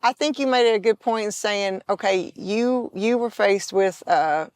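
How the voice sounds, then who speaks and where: A woman's voice: mid-range voice, unhurried, no echo. A woman hosting a casual health talk show, chatty, with frequent laughter and restarts. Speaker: podcast host. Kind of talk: casual interview chat.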